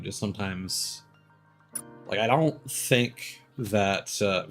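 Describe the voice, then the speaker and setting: A man giving casual live game stream commentary in a rambling, halting, mildly griping way. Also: on the low side.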